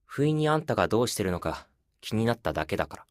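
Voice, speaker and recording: Aloof lonely young man, intimate game dialogue, lowish voice, very varied melody, coy, casual. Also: big pitch swings, racing, no room sound, studio quality. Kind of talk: intimate phone-call game voice acting.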